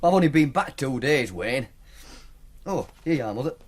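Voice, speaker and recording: A man's voice: mid-range voice, lively intonation, moderate pace, a little hiss or hum, natural voice. An actor playing an easygoing young male student with a Northern English accent in a naturalistic radio drama. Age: young adult. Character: easygoing young university student, modest betting winner.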